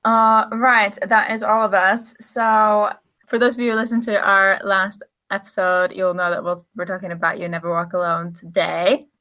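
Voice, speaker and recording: A woman in her twenties with a bright, crisp-edged voice co-hosts a podcast. She chats casually and is quick to giggle, with an everyday middle pitch. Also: flat delivery. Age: 20s. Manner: giggly, chatty. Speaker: podcast co-host.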